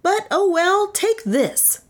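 A woman narrator plays an eccentric male inventor in a storybook. His voice swoops high and animated. He boasts grandly, mangles big words and can turn bashful. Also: normal speed. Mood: aggrieved.

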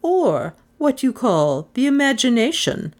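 A woman's voice: high-pitched, big pitch swings, measured pace, voice pushed up. A woman reader voicing a stubborn, proud elderly woman who speaks firmly and insistently. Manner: firm, insistent.

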